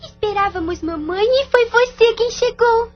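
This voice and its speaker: Tiny affectionate daughter, piping high girl voice, radio drama, dull audio. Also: measured pace. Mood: eager, affectionate. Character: eager, affectionate little schoolgirl daughter. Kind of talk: audio novela acting. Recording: dull lo-fi audio with music bed.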